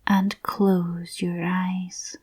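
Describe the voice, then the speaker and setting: A woman softly narrating a scripted guided visualisation in a soothing, breathy, near-whispered voice. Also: lowish voice, level tone, very slow.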